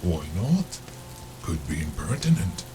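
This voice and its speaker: A man's voice: sits low, big pitch swings, measured pace, background noise. An actor playing a nervous, grumbling henchman in a noir audio drama, fretful and complaining. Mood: uneasy. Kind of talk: scripted audio drama dialogue.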